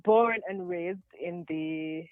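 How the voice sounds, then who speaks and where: Woman in her thirties from Jamaica, relaxed phone guest, dry close sound, easy laughs. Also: on the low side, takes it slowly, dry recording, phone-quality sound. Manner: relaxed, laughing often, many fillers. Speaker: interview guest. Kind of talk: phone interview. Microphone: telephone.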